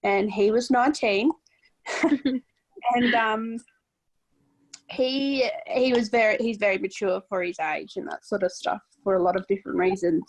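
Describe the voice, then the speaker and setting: A woman in her late twenties talks candidly in a mid-range voice, rambling a little and filling pauses as she thinks. She sounds open and honest. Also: medium pitch, low-quality recording. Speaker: podcast co-host. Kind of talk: casual video-call chat.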